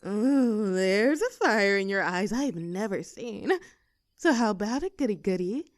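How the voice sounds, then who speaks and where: A woman's voice: mid-range voice, lively intonation, measured pace, no echo, clean studio sound, close to an everyday voice. A woman playing a young, teasing mean-girl student in a scripted audio roleplay, with a mocking, sarcastic, haughty manner. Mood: daring, goading.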